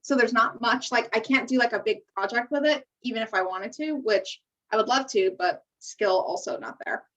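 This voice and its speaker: A woman's voice: on the high side, moderate pace, fairly bright tone. A young American woman co-hosting a podcast, chatting casually in an informal, encouraging way, on a muffled, distant recording. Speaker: podcast co-host. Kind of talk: casual chat. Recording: muffled, distant-sounding microphone.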